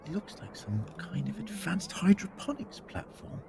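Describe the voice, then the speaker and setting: A man's voice: high-pitched, big pitch swings, moderate pace, creaky, crowd noise, full character voice. A man playing an eccentric, curious time-travelling scientist who rambles enthusiastically, in a sci-fi audio drama. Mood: absorbed, fascinated. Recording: noisy audio with ambient hum.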